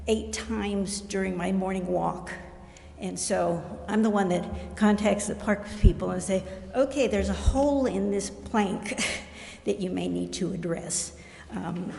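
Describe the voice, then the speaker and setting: A woman's voice: medium pitch, vocal fry, mellow. An older American woman giving informal, plain-spoken public comment at a meeting in a conversational way. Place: indoor council chamber. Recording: roomy, echoing council chamber with faint noise.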